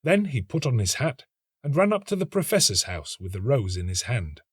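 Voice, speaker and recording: A man reading a literary story as an actor would, in a measured, dramatic and expressive narrating style. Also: medium pitch, husky, no echo, studio quality.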